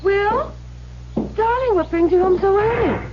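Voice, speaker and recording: An actress playing a devoted, encouraging young bride-to-be in a radio drama, ardent, coaxing and theatrical. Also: female voice, very high voice, animated, a little slow. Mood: concerned, soothing. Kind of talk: old-time radio drama acting. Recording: muffled, lightly noisy old radio broadcast.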